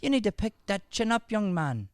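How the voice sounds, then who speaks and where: A man's voice: sits high, sing-song, moderate pace, clean tone, no room sound, studio quality. A man voicing an encouraging Irish teacher, giving instructions and repeating himself in improvised radio comedy. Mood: instructing, firm. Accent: Irish, put on. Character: coaxing, encouraging Irish teacher of shy youngsters. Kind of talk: improvised old-time radio comedy play.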